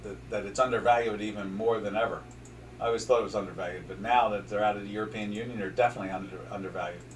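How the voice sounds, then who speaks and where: A man's voice: on the low side, far from the mic, poor audio. Older south jersey guy rambling about charts, lots of uhs, sits back from the mic, faint hiss behind. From southern New Jersey, USA. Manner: rambling, chatty, many fillers and restarts. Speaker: trading show host. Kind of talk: casual market commentary over charts.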